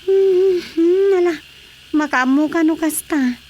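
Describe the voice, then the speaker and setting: High-voiced skeptical Ilocano woman. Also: slow pace, a little mellow, a little hiss or hum, low-quality recording. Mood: doubtful. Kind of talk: radio comedy-drama acting.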